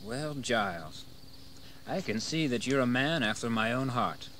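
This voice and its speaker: An actor playing a bold, ambitious colonial rebel leader in a history radio drama, speaking in a proud, formal, declamatory manner. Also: male voice, mid-range voice, lively intonation, unhurried, fairly bright tone, slight background noise. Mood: cool resolve. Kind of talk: dramatized history audio acting.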